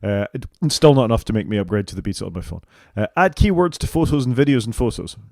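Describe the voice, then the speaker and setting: Fast-talking British man, wry and opinionated. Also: lowish voice, expressive, vocal fry, a little mellow, no room sound, clean studio sound. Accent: British English. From United Kingdom. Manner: wry, opinionated, reads down a list. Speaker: podcast co-host. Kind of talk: casual tech chat.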